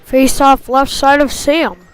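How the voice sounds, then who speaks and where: Female hockey play-by-play caller, very high voice, slow pacing, clipped phrasing, excitable on big plays. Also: rough recording. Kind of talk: live sports commentary.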